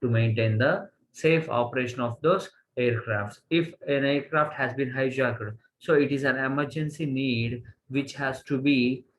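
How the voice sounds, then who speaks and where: A man with an Indian accent giving a college lecture, halting as he goes and repeating phrases for emphasis. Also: medium pitch, slight background noise. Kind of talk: slide lecture, reading and explaining.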